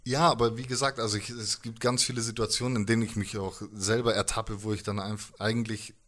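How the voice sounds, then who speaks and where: A man's voice: lowish voice, level tone, fairly quick, fairly bright tone. A man in his thirties chatting casually as a musician in an interview, telling stories with slangy asides into a handheld microphone. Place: living room, indoors.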